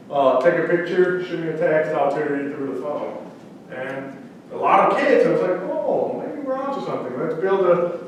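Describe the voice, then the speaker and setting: A man from central Mexico whose first language is Spanish, giving a lively startup pitch and quizzing the audience. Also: far from the mic, a little hiss or hum. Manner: conversational, quizzes the audience with questions. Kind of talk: energetic startup pitch talk. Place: event room with audience. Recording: echoey room, speaker away from mic.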